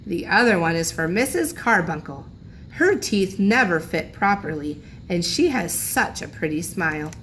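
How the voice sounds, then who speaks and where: A woman's voice: on the low side, big pitch swings, moderate pace, a bit bright, slight background noise, voice pushed down. Woman reading as a toothless old grandpa, mumbling. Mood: pleased. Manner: mumbling, moaning.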